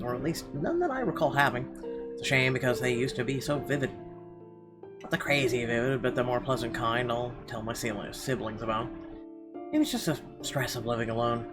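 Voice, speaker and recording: A man reading a horror visual novel aloud in an expressive, theatrical narration with weighty pauses. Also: mid-range voice. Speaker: let's player. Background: game music.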